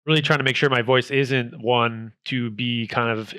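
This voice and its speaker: A man's voice: medium pitch, steady tone, moderate pace, pushed and bright, clean tone, dry recording. A man speaking as an esports coach in a casual discussion, thinking aloud and restarting his phrases. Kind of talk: casual round-table discussion.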